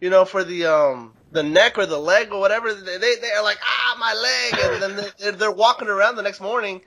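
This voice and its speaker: High, bright-voiced man chatting casually. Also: steady tone, fairly quick. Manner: casual, sweary. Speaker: guest on an online video series. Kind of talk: casual anecdotal chat.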